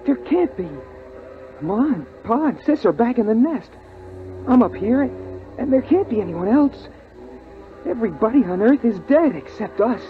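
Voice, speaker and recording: A man's voice: extremely high pitch, animated, moderate pace, pauses often. An actor playing an earnest, plucky boy, youthful in manner, narrating a 1950s radio drama. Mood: shaken. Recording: muffled old radio recording, faint music.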